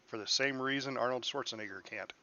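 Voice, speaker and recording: A man's voice: mid-range voice, fast talker, bright, forceful tone, dull audio. A man streaming a space game, chatting casually with his chat, joking and laughing often. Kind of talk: casual live gameplay commentary.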